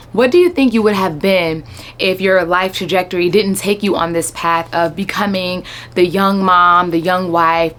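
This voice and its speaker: Chatty young woman vlogging to viewers. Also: mid-range voice. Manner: chatty and warm, addresses viewers as y'all. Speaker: travel vlogger. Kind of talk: casual talk to camera. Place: Texas home setting.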